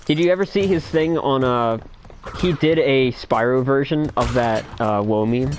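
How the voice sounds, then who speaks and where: A young man hosting a livestream, chatting casually while he plays a game. His voice is thin with little bass, and he is excitable and laughs a lot. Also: normal speed, slight background noise. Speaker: livestream host.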